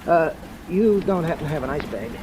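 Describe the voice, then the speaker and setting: An actor plays a nervous, hungover man in a 1940s detective radio play. His high voice stammers and whines through his hesitant pleas. There is faint noise on the old recording. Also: expressive, a little fast, close to an everyday voice. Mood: nervous, urgent. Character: jittery, hungover male client with a sob story. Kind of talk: 1940s radio drama acting.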